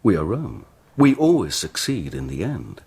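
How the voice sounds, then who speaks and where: Low, husky, courtly Roman envoy. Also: male voice, sing-song, moderate pace, a little mellow, exaggerated voice. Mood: rousing, persuasive. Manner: courtly, persuasive. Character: deferential, smooth-talking Roman envoy, ambitious father. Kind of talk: radio drama acting.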